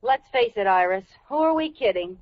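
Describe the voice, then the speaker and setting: An actress playing a sarcastic housewife in a vintage radio comedy, with exclamatory, theatrical comic delivery. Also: female voice, on the high side, expressive, normal speed, natural voice. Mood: resolute. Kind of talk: 1940s-50s radio sitcom acting. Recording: muffled old radio broadcast recording.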